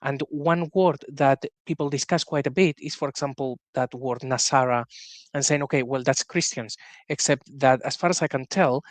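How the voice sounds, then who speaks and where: A man's voice: mid-range voice, moderate pace, no echo. A man appearing as a guest on a podcast, explaining his views in a thoughtful, slightly hesitant way. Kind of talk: casual discussion.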